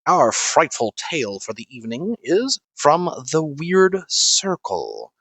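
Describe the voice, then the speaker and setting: A man hosting a horror radio show with spooky, drawn-out theatrical delivery. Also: fairly high pitch, wildly swooping pitch, fairly bright tone, no echo.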